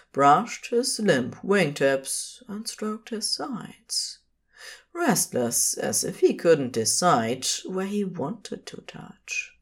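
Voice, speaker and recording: Casting: female fiction narrator with a deep register, measured phrasing and widely varied intonation. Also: slightly rough.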